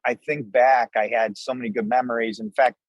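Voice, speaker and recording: A man's voice: medium pitch, fairly even intonation, fairly quick, no room sound. An American man in his sixties telling stories casually as an interview guest, folksy and conversational. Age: 60s. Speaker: podcast guest, restaurant co-owner.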